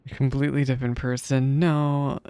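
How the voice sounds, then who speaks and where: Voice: medium pitch, little pitch movement, brisk, dark tone, clean tone. A streamer giving casual live reaction commentary while following a game's story.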